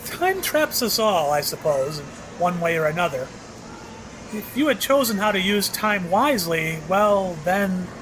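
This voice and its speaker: A man's voice: high-pitched, animated, unhurried. A man acting in an audio play as a mysterious, courteous and apologetic shopkeeper who speaks hesitantly. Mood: regretful, ominous. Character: mysterious, apologetic male shopkeeper offering tastes of time. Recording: clearly noisy background.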